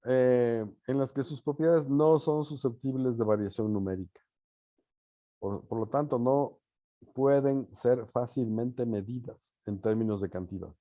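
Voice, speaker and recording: A man teaching a class in an informal lecture style, pausing to think and often checking understanding with short tag questions. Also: medium pitch, no room sound, dull audio. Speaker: university teacher leading an online or live class.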